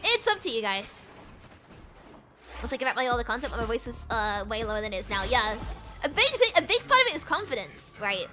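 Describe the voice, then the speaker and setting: Bubbly high-pitched young woman streamer. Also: big pitch swings, fairly quick, over music. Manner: bubbly, giggly and rambling, repeating words; switches between British and American accents. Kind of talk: casual chat while gaming.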